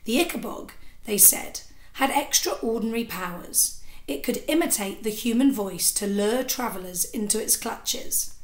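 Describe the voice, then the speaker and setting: A woman in her thirties reading a children's story aloud with expressive narration, like a teacher, into a device at arm's length indoors. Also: a little high. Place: small indoor room with sofa. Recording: echoey room.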